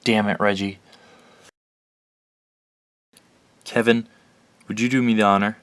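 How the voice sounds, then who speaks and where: A man plays a troubled young survivor leader in a horror radio drama. His voice is lowish and earnest with an anxious edge, recorded very dry and close. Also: flat delivery, a little fast, a bit bright, smooth, no room sound, natural voice. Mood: earnest, pained. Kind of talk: audio drama acting.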